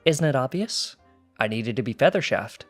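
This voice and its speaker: A man's voice: mid-range voice, animated, normal speed, lightly acted. A man playing a sly, boastful pony thief over music. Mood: teasing. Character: cocky smug pony thief recounting his heist. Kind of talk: fan fiction character dialogue.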